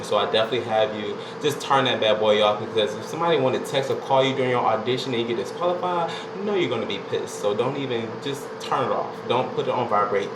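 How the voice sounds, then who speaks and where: A young man tells his story in a casual, rambling vlog style, leaning on "you know" and "like" as he goes. His voice sits at an ordinary pitch for a man. The room is echoey, and a steady air conditioner hum runs clearly underneath. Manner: laid-back, chatty, lots of "you know" and "like". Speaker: vlogger.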